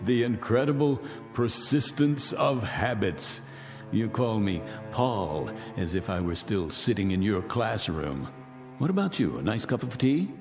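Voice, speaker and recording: A man's voice: on the low side, very animated, unhurried. An actor playing a bitter, vengeful man who once was a disgraced soldier, taunting his guests with silky, theatrical menace in a radio drama. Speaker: host of the gathering. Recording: reverberant radio drama with music.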